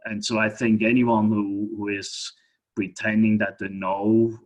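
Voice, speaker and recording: Low, flat-voiced Swiss-born man. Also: a little slow, poor audio. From Switzerland. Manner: hesitant, many fillers and restarts. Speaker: guest speaker, lender. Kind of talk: webinar presentation.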